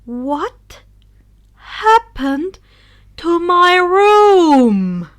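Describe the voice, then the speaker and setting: A woman's voice: very high-pitched, sing-song, drawn-out words, voice pushed up, heavily acted. Woman acting a menacing, furious teenage boy. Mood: cold anger. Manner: threatening, forceful.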